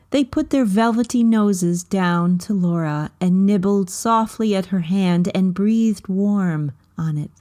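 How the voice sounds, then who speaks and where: Lady reading a kids book out loud, takes it real slow, careful phrasing, medium pitched voice. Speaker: volunteer reading a story aloud. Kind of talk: storybook narration of a children's novel.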